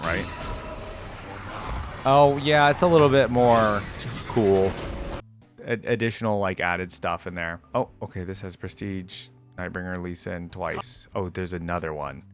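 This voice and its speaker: A man's voice: deep voice, normal speed, over music. A man giving casual reaction commentary in an informal, slangy gamer way. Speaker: reaction video host.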